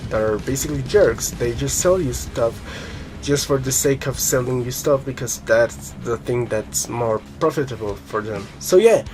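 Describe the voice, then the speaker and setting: A man's voice: mid-range voice, moderate pace, a little mellow. A young man from Colombia with a Colombian Spanish accent, chatting casually to camera as a guitar vlogger, rambling with many fillers and casual swearing.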